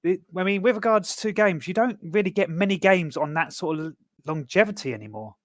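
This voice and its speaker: Bloke co-hosting a podcast, talks fast, dry sound, just chatting. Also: male voice, high-pitched, lively intonation, no echo. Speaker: podcast co-host. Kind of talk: casual chat.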